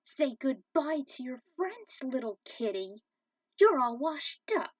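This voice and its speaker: A woman's voice: high-pitched, sing-song, slow, darkish tone, no echo, pitched above the performer's own voice, full character voice. A woman voicing a menacing, theatrical male villain minion with a grandiose, taunting delivery, in a story. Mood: mocking, gloating. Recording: dull, distant microphone.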